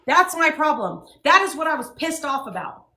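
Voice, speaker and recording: A woman's voice: high-pitched, big pitch swings, moderate pace, pushed and bright, reverberant. A woman hosting a live stream and ranting bluntly with lots of swearing. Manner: blunt, profane, emphatic. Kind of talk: heated live stream rant.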